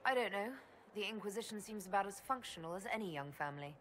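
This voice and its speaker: A woman's voice: medium pitch, expressive, fast, a bit bright, a little hiss or hum, close to an everyday voice. A woman playing a level-headed, inquisitive elf heroine in a fantasy video game. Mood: wry. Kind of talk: video game dialogue acting.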